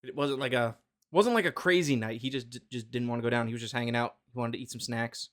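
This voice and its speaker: A male podcast host chatting casually with his co-host. He jokes around and rambles, with plenty of fillers and false starts in a mid-pitched voice. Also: quick pace, a bit bright. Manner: joking, rambling, many fillers and restarts. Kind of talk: casual chat.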